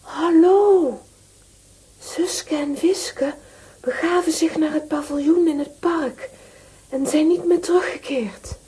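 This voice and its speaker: A mysterious caller in a children's audio play. Her voice is high yet dark in tone. She delivers her warning slowly and solemnly. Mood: solemn, hushed. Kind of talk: children's audio drama acting.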